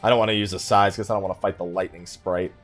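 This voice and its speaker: A man's voice: sits low, fairly even intonation, fast, a bit bright, faint music behind. A man hosting a gaming let's play, chatting casually in a rambling, joking way with mock exasperation and self-mockery. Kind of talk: casual chatty game commentary.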